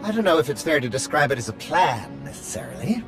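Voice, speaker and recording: Prissy high-pitched fussy droid. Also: male voice, huge pitch swings, quick pace, loud music behind the voice. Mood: fussy doubt. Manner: prissy, pompous, formal. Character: fussy, anxious male translator droid, prim and formal. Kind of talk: video game voice acting.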